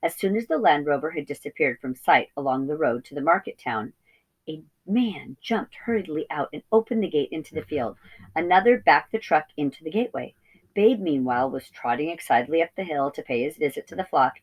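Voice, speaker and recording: A woman with a notably low voice narrates a children's story. She reads expressively, as a teacher would for young listeners. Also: animated, brisk, husky, poor audio. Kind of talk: expressive children's read-aloud.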